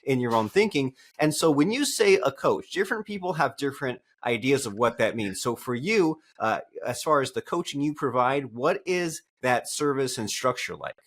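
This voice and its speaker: A man's voice: medium pitch, expressive, moderate pace, hardly any pauses. A man hosting an interview podcast, conversationally summing up and affirming, often checking in with a tag question. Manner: affirming, summarizing, adds "right?" as a tag. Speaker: podcast host.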